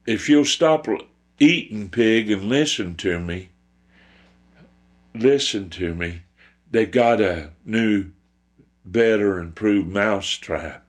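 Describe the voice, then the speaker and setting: A man's voice: on the low side, slow, pauses often. A man voicing a frightened little mouse in a storytelling, stammering and repeating its worries as it pleads. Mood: anxious, pleading. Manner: repetitive, stammering worry.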